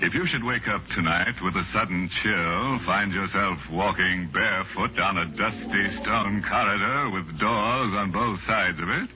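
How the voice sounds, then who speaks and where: An actor playing a gleefully macabre horror host on 1940s radio, sly, teasing and tongue-in-cheek. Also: male voice, lowish voice, close to an everyday voice. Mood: gleefully sinister. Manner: sly, chuckling, tongue-in-cheek, drawn-out menace. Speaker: host of mystery anthology show. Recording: tinny 1940s radio recording over music.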